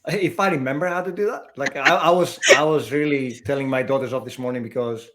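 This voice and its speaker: A man's voice: mid-range voice, fast talker. Chatty man. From Greece. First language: Greek. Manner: animated, chatty, with frequent restarts. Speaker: guest developer. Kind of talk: casual chat on a livestream.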